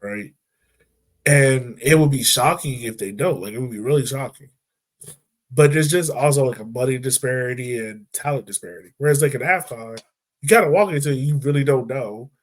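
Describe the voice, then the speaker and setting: A man's voice: medium pitch, normal speed. Laid-back man hosting a soccer podcast. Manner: relaxed, loose, lots of fillers like "um" and "like". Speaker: podcast host. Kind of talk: casual soccer podcast chat.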